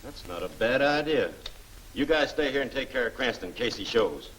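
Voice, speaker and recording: A man acting as a shrewd gangster henchman from an old crime serial. He rattles out clipped orders at a quick pace, in period 1940s style, under heavy old-film noise. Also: mid-range voice, lively intonation, fairly bright tone, lightly acted, rough recording. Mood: commanding.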